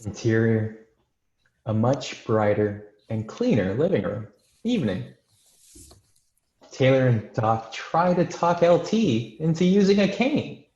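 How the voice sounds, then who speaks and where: A man's voice: medium pitch, sing-song, slow pace, a little mellow. A man narrating screenplay stage directions in scripted narration for a table read. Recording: echoey room, dull video call sound.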